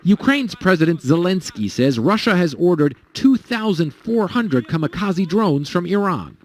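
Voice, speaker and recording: A male news correspondent reading a scripted report with measured, dramatic pauses. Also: sits high, big pitch swings.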